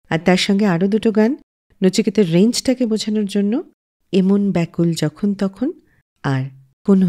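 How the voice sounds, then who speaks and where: Expressive woman hosting radio. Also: mid-range voice. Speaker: radio show host. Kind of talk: scripted music-show narration.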